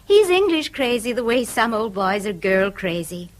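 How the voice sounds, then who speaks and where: An actress playing a wealthy, outspoken North American wife in a British radio drama, effusive, chatty and socially confident. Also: female voice, a little high, big pitch swings, moderate pace. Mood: chatty, breezy. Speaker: actor in a radio play.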